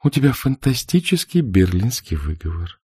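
A man reads the part of a playful young woman, teasing and affectionate in an audiobook. His voice lifts somewhat above its low natural pitch. The recording is dry and close. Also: very animated, moderate pace, darkish tone, husky, dry recording, exaggerated voice.

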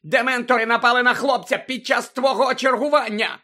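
A man's voice: sits high, moderate pace, a bit bright, pitched above the performer's own voice. A man voicing a cranky, frantic old woman from a storybook, scolding and bossy in a screechy way. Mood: furious screeching.

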